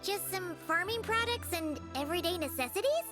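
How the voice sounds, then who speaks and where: A woman voicing a small, excitable, curious floating girl companion in a video game, with a squeaky childlike voice. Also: a little fast. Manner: refers to self in third person, exclamatory. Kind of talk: video game character voice acting. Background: music.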